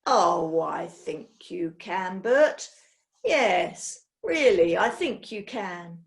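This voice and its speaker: A woman's voice: on the low side, animated, very slow, gravelly, heavily acted. A woman voicing a red-faced, cruel male chicken farmer who bullies and threatens. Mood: smug. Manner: threatening, bullying.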